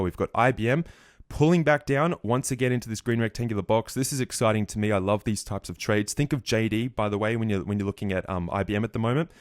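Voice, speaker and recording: A man giving a market recap over his trading charts, a bit croaky, walking through trades confidently. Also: fairly low pitch, no room sound, studio quality. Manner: fast, confident chart walkthrough. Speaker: trading educator.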